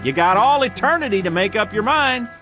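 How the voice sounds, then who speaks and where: Casting: folksy, coaxing afterlife gatekeeper, Southern backwoods accent, high and very animated, faint music behind. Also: male voice. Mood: insistent. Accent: rural Southern American. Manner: folksy, coaxing, drawn-out phrasing. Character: folksy, wheedling male gatekeeper of a false heaven. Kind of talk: vintage television drama acting.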